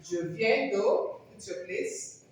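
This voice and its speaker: A Nigerian woman teaching a French lesson to learners, repeating each phrase slowly for them to copy. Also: medium pitch, expressive, a little hiss or hum. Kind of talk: classroom-style language lesson. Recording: very echoey room, speaker far from the mic.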